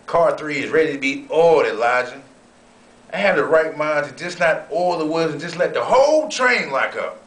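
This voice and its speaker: A man playing a proud, eager young railroad oilman and inventor in a folksy, expressive amateur sketch read-through. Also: on the high side, normal speed. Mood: frustrated. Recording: roomy, distant, noisy amateur recording.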